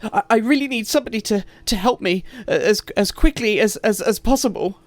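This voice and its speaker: A man voicing a frantic female peat farmer who stammers and pleads in a high, strained voice. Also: a little fast, dry recording, lightly acted.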